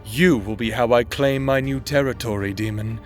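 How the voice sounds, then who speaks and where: Guy doing a cold necromancer villain, calm and threatening, audio drama. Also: medium pitch, moderate pace, soft background music, natural voice. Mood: menacing. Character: menacing male necromancer, scheming and cold.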